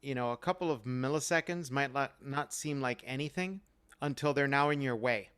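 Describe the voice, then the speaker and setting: Smooth-voiced man, thoughtful panelist. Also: medium pitch, moderate pace, fairly bright tone. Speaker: actor on a panel. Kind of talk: reflective panel talk over a video call.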